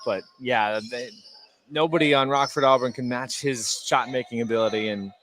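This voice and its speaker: A man giving conversational, somewhat halting analysis as a color commentator at a live basketball game. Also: medium pitch, a bit bright, slight background noise. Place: courtside in an indoor high school gym.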